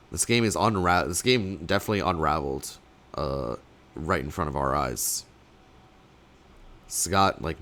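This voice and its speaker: A young man, slightly sick and losing his voice, casting a card game tournament alone in rambling play-by-play full of fillers, with sudden bursts of excitement. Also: sits low. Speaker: tournament caster. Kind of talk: solo play-by-play commentary.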